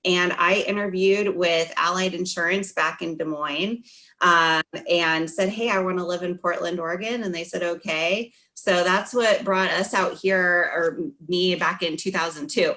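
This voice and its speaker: A woman's voice: lowish voice, steady tone, unhurried, pushed and bright. A woman in her forties from the Midwest, a friendly interview guest who laughs often. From Midwest US, Iowa. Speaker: guest, insurance professional. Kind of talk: interview answers.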